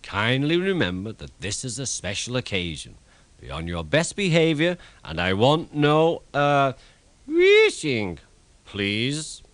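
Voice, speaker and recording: A man's voice: mid-range voice, huge pitch swings, takes it slowly, a bit bright, no echo, exaggerated voice. A man voicing a pompous, bossy railway controller in a children's story, with a formal, commanding manner. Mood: stern. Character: pompous, bossy male railway controller addressing his engines.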